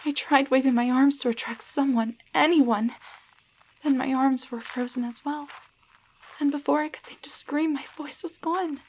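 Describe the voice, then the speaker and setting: A woman playing a timid young waitress shrunk into a doll, in a roleplay audio drama, speaking in a halting, stammering way. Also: sits high, fairly even intonation, darkish tone, a little hiss or hum, natural voice, rough recording. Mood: frightened.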